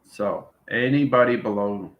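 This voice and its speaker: A man's voice: fairly low pitch, a little slow, phone-quality sound. A man giving an informal live lesson to viewers as an online teacher, repeating himself and often checking in with "okay" and "right". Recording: roomy, echoing room sound.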